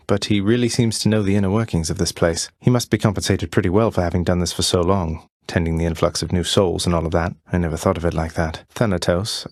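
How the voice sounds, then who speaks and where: Young British underworld prince, low voice, wry, politely understated, rebellious, game dialogue, close dry recording. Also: male voice, a little mellow, no echo. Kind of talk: video game character dialogue.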